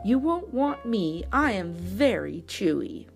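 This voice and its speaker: A woman's voice: mid-range voice, sing-song, takes it slowly, gravelly, soft background music, heavily acted. A woman voicing a cowardly, wheedling rooster in a children's storybook. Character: cowardly self-saving rooster.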